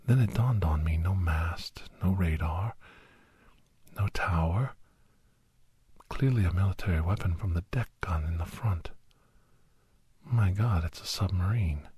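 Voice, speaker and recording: A man narrating a suspenseful first-person ASMR story in a hushed, half-whispered voice with dramatic pauses. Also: deep voice, husky, no room sound. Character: doctor recounting a monster-hunting adventure, grave and awed.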